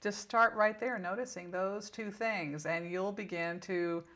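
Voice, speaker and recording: A woman with a medium-pitched voice is the guest in an interview, speaking as a spiritual teacher. She explains conversationally and instructively, stressing key points with short repeated phrases and examples. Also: measured pace, fairly bright tone.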